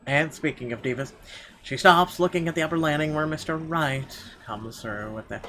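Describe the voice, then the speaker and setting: A man's voice: on the high side, moderate pace, sharp tone. A man hosting a gaming video, reading story narration aloud with casual asides. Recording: clear background noise.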